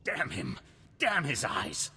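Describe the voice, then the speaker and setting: An actor playing a noble, resolute duke in a video game, husky, formal and stately in delivery. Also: male voice, mid-range voice, huge pitch swings, slow, sharp tone, slight background noise, exaggerated voice. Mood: angry. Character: noble, resolute older duke, solemn war leader. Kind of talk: cinematic video game voice acting. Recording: long hall reverb, music behind.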